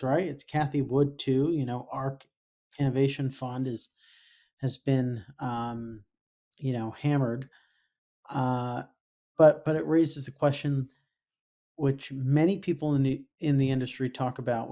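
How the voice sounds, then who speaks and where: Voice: medium pitch, warm tone. A podcast host interviewing a guest remotely, talking conversationally with fillers and playful asides. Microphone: remote call setup.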